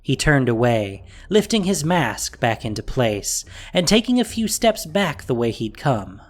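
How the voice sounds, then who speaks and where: Expressive male story narrator. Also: medium pitch, measured pace. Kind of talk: scripted dramatic story narration.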